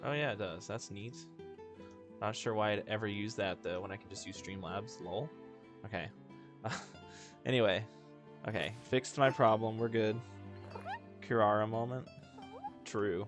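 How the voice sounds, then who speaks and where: Low-voiced man chatting on a gaming stream. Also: a little slow, pauses often. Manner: slangy, joking, self-deprecating. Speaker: livestreamer playing a video game. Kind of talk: casual gaming livestream chat. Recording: game music behind the voice. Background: video game music.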